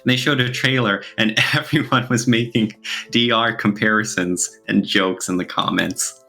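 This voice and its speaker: A man's voice: on the low side, fairly even intonation, normal speed, gravelly, pushed and bright, soft background music. A man chatting casually as a podcast co-host, talking in an enthusiastic way.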